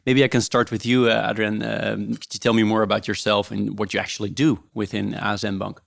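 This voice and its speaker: A man's voice: on the low side, flat delivery, brisk, gravelly. A man hosting a podcast interview, talking casually and hesitantly with many fillers. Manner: warm, hesitant, many ums. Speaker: podcast host.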